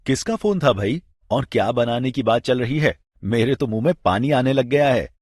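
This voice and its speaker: Man acting husky, animated, curious husband. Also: mid-range voice, moderate pace, no echo, clean studio sound, close to an everyday voice. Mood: playful, curious. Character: good-humoured, curious, agreeable Indian father and husband. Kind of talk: scripted educational audio drama dialogue.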